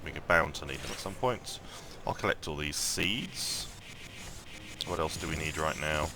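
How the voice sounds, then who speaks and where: Croaky low-voiced man thinking aloud. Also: animated, unhurried, noisy background. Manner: thinks aloud, rambling with many ums and restarts. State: croaky morning voice. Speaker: mobile game let's-play host. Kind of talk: casual gameplay commentary.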